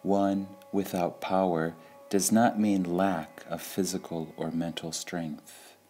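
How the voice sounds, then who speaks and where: Deep slow-voiced man reading sayings. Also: soft background music. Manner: calm, measured, with pauses between phrases. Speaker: reader of spiritual sayings. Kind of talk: slow meditative reading of quotations.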